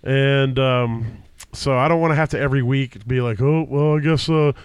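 A man's voice: mid-range voice, moderate pace, clear, resonant tone, dry recording. Stuffy-nosed man rambling through podcast intros. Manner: rambling with frequent fillers and restarts. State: stuffy nose from a cold. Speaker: podcast host. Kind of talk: casual chat. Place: home basement. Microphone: podcast microphone.

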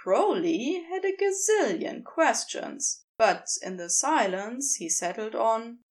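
A woman's voice: fairly high pitch, big pitch swings, slow pace. A woman narrating scripted fiction as a storyteller.

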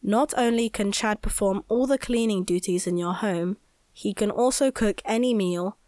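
A woman's voice: mid-range voice, moderate pace, a little mellow, dry recording, lightly acted. A young boy acted by a woman reads aloud for a children's audio story. The voice is mid-range for a woman and recorded very dry and close. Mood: reading aloud. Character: young boy reading a product leaflet aloud. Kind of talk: children's audio drama acting, reading aloud.

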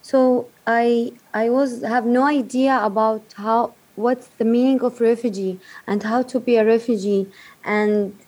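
A woman from Yemen, speaking haltingly in a remote interview, searching for words. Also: on the high side, steady tone, a little mellow. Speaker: guest, refugee advocate.

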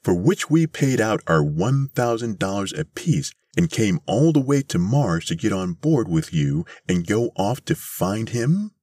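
Fierce elderly woman, acted by a male narrator, storybook. Raised pitch, husky, indignant and demanding. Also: big pitch swings, unhurried, few pauses, no echo, studio quality. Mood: appalled, indignant. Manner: forceful, demanding, crying out. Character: fierce, indignant old lady demanding answers.

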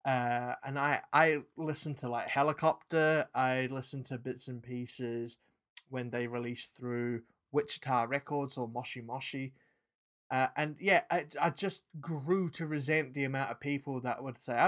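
A man with a British accent mixed with New Zealand and South African traces, co-hosting a podcast in animated, sweary casual chat. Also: medium pitch, moderate pace. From England. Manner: animated, swearing, trailing restarts. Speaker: podcast co-host.

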